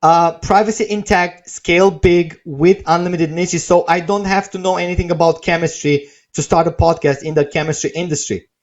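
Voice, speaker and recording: A man who is not a native English speaker, hosting a live sales webinar with a persuasive, off-the-cuff pitch and frequent checking tags. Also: steady tone, moderate pace, few pauses. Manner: persuasive, repeats checking tags like okay and right. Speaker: webinar host pitching software. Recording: dull, lo-fi webinar audio.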